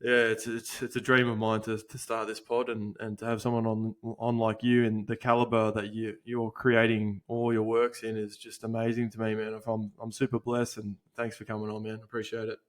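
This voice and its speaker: A man's voice: on the low side, monotone. A young Australian man hosting a podcast, talking casually with lots of swearing, fillers and restarts. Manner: laid-back, swears a lot, halting with fillers. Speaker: podcast host.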